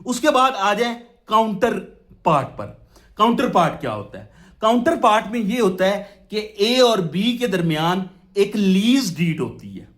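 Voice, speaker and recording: A man with a Pakistani accent lecturing on law in a didactic way, posing questions and answering them, mixing in English terms. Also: sits high. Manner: switches between Urdu and English legal terms. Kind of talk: explanatory law lesson with worked examples.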